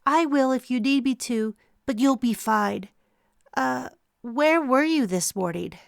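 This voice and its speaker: A woman's voice: high voice, unhurried, vocal fry, dry recording, studio quality, pitched above the performer's own voice. A woman doing a tearful, stuffy-nosed young woman. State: crying, stuffy nose.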